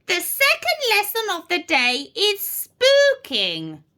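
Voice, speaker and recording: A woman's voice: extremely high pitch, huge pitch swings, slow, sharp tone, pitched above the performer's own voice, exaggerated voice. A woman voicing a sneering, mocking witch schoolteacher in a children's storybook, with a commanding, cackling manner. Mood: bossy, mocking.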